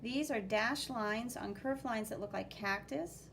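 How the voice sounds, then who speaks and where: Woman, high voice, unhurried storytime teacher delivery, expressive with spoken sound effects, noisy recording. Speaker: art teacher reading a picture book aloud. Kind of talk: animated children's read-aloud with commentary.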